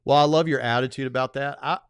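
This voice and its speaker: A man hosting a podcast in casual, animated chat, often repeating words as he speeds along. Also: medium pitch, fast, clear, resonant tone, no room sound, studio quality. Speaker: podcast host.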